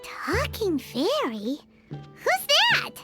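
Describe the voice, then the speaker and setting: A tiny childlike fairy companion, with an extremely high, squeaky voice that swings around as she chatters. She is cheerful, curious and exclamatory, in animated game style, with loud music playing behind her. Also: drawn-out words, exaggerated voice. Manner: speaks of herself in the third person, exclamatory. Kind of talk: animated video game voice acting.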